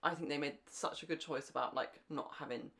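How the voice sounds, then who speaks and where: A woman's voice: sits low, fairly even intonation, fairly quick, fairly bright tone. A young woman co-hosting a podcast, chatting casually in an enthusiastic, talkative way. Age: young adult. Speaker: podcast co-host. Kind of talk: casual fan podcast chat.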